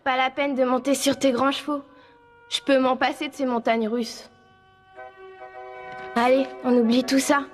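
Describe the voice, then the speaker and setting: An actress voicing a bossy, competitive, excitable schoolgirl in a French cartoon dub, pushy and emphatic. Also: sits high, a little slow, pauses often, faint music behind. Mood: haughty, eager.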